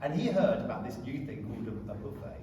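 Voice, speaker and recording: A man's voice: medium pitch, expressive, fast talker. A man interviewing a guest on stage at a conference, chatty and teasing as he prompts the story. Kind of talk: onstage conversation at a conference. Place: conference venue hall. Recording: echoey hall, distant mic, noisy room.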